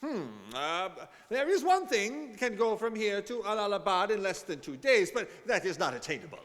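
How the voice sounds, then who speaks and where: A man's voice: sits high, sing-song, normal speed, fairly bright tone. A man playing a hesitant, deferential railway conductor in an old-time radio drama. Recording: long hall reverb on stage voices.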